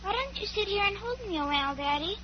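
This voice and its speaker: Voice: extremely high pitch, expressive, quick pace. A performer playing a sweet, sleepy little girl in an old-time radio western. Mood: sleepy, pleading. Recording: muffled, noisy vintage radio recording.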